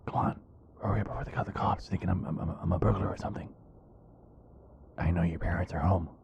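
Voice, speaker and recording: A man playing an ardent, nervous werewolf boyfriend in an ASMR roleplay, halting and repeating himself as he pleads. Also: sits low, fairly even intonation, creaky, darkish tone, dull audio, a little hiss or hum. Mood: urgent pleading.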